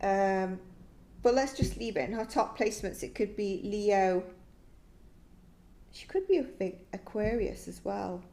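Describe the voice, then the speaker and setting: A woman's voice: medium pitch, warm tone. Woman musing through a tarot reading. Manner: musing and tentative, often trailing off. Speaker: psychic tarot reader. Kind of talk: thinking-aloud tarot reading to camera.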